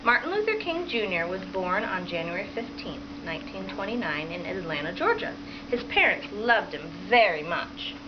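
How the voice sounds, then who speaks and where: A woman primary school teacher reading a picture book aloud to children and pausing to prompt them with questions. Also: mid-range voice, sing-song. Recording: dull lo-fi sound with clear background noise.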